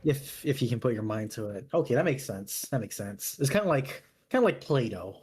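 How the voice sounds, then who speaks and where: A man's voice: mid-range voice, very animated, a little fast, darkish tone, a little hiss or hum. Casual, agreeable man with a mid-range voice. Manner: agreeable, informal. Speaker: tabletop game player. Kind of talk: casual chat.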